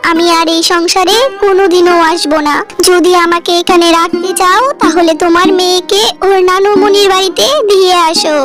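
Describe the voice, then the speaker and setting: A woman's voice: very high-pitched, level tone, moderate pace, few pauses. A woman voicing a greedy, scheming pregnant stepmother who talks in a haughty, demanding way in a cartoon. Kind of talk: cartoon voice acting. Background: loud music.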